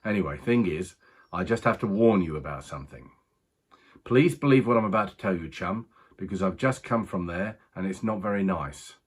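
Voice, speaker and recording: A man performing a working-class betting man in a dramatic monologue. His voice is low and husky, and he talks in a halting, confiding way, full of colloquial turns and pauses, with a rueful air. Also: expressive. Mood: earnest warning. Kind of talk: dramatic monologue, conversational storytelling.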